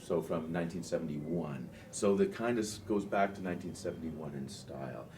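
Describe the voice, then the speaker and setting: Low-voiced man presenting products over room noise. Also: a little fast, a little mellow. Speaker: audio distributor presenting products. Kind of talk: informal trade show product presentation. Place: indoor trade show demo room. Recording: clearly noisy trade show room.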